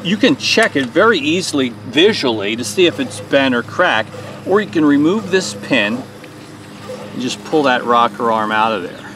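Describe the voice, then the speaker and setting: A man's voice: medium pitch, expressive, moderate pace, background noise. Folksy man explaining an engine repair outdoors. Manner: plain, folksy, step-by-step explaining. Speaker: small engine repair host. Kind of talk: hands-on repair tutorial. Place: outdoors on a lawn.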